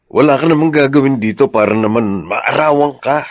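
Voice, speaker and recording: An actor with a Filipino accent playing an easygoing, good-humoured farming man in an expressive radio drama style. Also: mid-range voice, fairly quick, no room sound, lightly acted.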